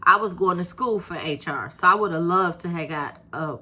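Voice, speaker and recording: A woman in her fifties with a soft voice, talking straight to her viewers in a casual, encouraging way and calling them "baby". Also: on the low side, normal speed. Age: 50s. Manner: animated, encouraging, talks to viewers with "baby" and "you hear me". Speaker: YouTube creator. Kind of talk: casual direct-to-camera talk.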